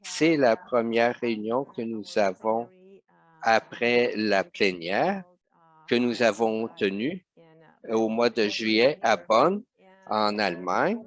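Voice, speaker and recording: A man's voice: lowish voice, lively intonation, slow pace. A man interpreting a webinar live, speaking with frequent hesitations and self-corrections. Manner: hesitant, many fillers and restarts.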